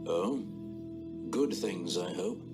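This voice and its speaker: Steadfast veteran soldier in a game, low n genteel, wry, measured, music behind him. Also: male voice, lively intonation. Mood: reflective. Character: courteous, dry-witted veteran male officer, steadfast and proud. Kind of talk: video game character dialogue. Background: background music.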